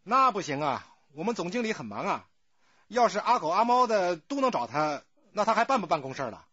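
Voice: medium pitch, sing-song, a little fast, pushed and bright, husky, dry recording, dull audio, heavily acted. An actor playing a fussy, officious company gatekeeper in a radio crime drama. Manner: fussy, brusque or fawning. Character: officious gatekeeper who turns obsequious.

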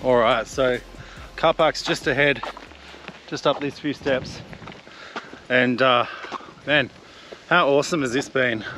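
A man's voice: mid-range voice, slow pace, long pauses, bright, forceful tone, faint music behind. A man in his thirties chatting upbeat to a camera held at arm's length on an outdoor mountain trail, laughing at himself. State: throat a bit rough. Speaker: hiking vlogger. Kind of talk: casual vlog to camera. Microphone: action camera at arm's length. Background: open air.

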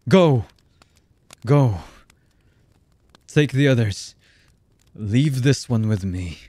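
A male voice actor playing a cold, menacing, commanding young anime villain in an ASMR roleplay, with a husky voice and a deliberate delivery. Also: fairly low pitch, mellow, no room sound. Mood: impatient anger. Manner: slow, menacing, deliberate with long pauses.

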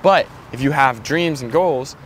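A man's voice: medium pitch, unhurried, a bit bright. A young man vlogger chatting casually outdoors in slangy, profane bro talk. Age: young adult. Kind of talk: casual chat. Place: open rooftop parking lot, tailgate of a truck. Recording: clear outdoor background noise.

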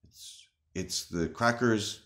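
A man in his thirties playing an anxious, earnest, melodramatic self-styled warrior in a halting, mock-serious monologue to camera. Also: lowish voice, a bit bright. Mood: agitated, defensive. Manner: halting, grave, deliberate delivery with long pauses. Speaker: comic video responder. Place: small room indoors.

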